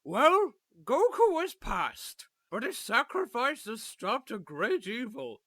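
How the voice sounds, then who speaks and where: A woman voicing an earnest, excitable hero in a cartoon parody. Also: on the high side, takes it slowly, no echo, full character voice.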